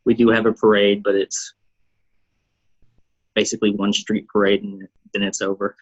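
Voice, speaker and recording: A man's voice: fairly low pitch, flat delivery, moderate pace, breathy edge, low-quality recording. A man from Kentucky, a political candidate, giving plain, short interview answers with ums.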